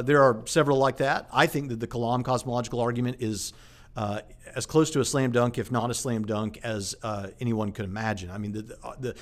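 A man, a preacher and channel host, talking casually about faith and evidence, with frequent fillers and restarts. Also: medium pitch. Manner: conversational with many fillers and restarts. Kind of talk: casual apologetics talk to camera.

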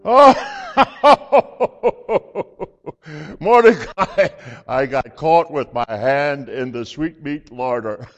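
A man's voice: on the high side, animated, drawn-out words, faint music behind. A man playing a hearty old priest host. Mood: merry. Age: older. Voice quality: chuckling, hearty and hospitable. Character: jovial elderly male priest hosting a friend. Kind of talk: dramatic monologue. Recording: reverberant hall, slightly dull sound.

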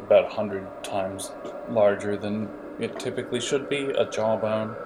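A man narrating an improvised tabletop game as game master, in a halting, explanatory way with hesitations. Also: lowish voice, steady tone, noisy background.

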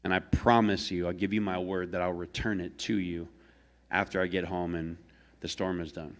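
A man's voice: sits low, flat delivery, normal speed. A man doing a polite, apologetic queen. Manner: soft, apologetic and courteous.

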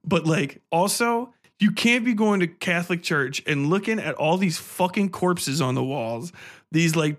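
A man hosting a podcast in a casual, animated, sweary ranting style full of comic exaggeration. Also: on the high side. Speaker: podcast host. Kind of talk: casual, profane comic rant and game review.

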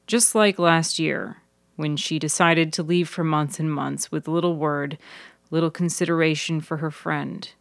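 A woman reading scripted literary narration in a measured, deliberate manner, as narrator of a serial fiction podcast. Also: deep voice, vocal fry, no echo, studio quality.

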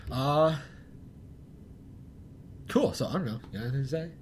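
Rambling young man with a lively voice. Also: medium pitch, normal speed, slight background noise. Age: young adult. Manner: rambling, self-deprecating, laughs often. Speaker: podcast co-host. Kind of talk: casual chat.

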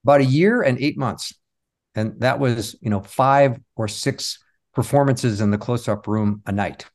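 A man's voice: medium pitch, unhurried, a little mellow. A man telling anecdotes casually as an interview guest. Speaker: interview guest, magician and comedy performer.

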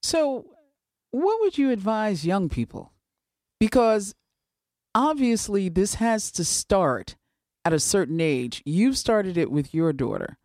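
A woman's voice: medium pitch, takes it slowly, no echo, clean studio sound. A woman hosting a community interview, asking her guests questions and following along with short affirming replies. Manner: attentive, with steady affirming interjections. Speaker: host.

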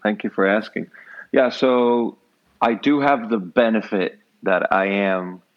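A middle-aged Puerto Rican man with a very deep, low voice, speaking with a Puerto Rican accent. He talks calmly and thoughtfully as a guest, often humming along in agreement. The audio sounds muffled and lo-fi. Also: a little slow. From Puerto Rico. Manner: calm, reflective, frequent affirming hums. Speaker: nonprofit leader, podcast guest. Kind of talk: relaxed interview conversation.

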